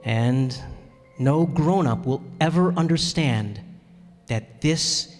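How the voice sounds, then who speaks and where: A man playing a tender, sorrowful pilot in a radio play, speaking earnestly with halting pauses. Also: medium pitch, animated, darkish tone. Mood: sorrowful, reflective. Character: sorrowful, tender adult pilot recalling his lost friend. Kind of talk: radio play acting and narration. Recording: reverberant hall with music.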